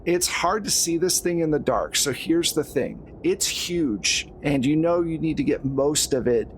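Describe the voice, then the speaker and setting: A man's voice: fairly high pitch, expressive, a little slow, a little hiss or hum. A man narrating a horror tabletop game as game master, in a casual, conversational storytelling way.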